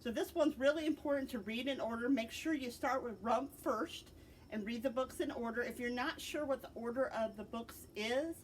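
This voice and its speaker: A woman's voice: a little high, a little hiss or hum, poor audio. A middle-aged American woman, a librarian host, gives informal book recommendations in an explanatory way, stressing key words.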